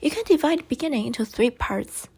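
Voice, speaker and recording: A young woman whose first language is Chinese, reading a class presentation in a careful, measured way with light breathy passages. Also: high voice, lively intonation, dark tone. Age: young adult. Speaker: student presenter. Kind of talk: read class presentation narration.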